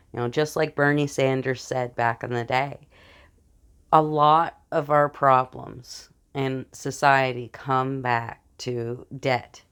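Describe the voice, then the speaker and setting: A woman's voice: rumbling low, clean tone. An American woman giving an informal political speech from notes in an earnest, explanatory manner. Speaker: speaker announcing a political platform.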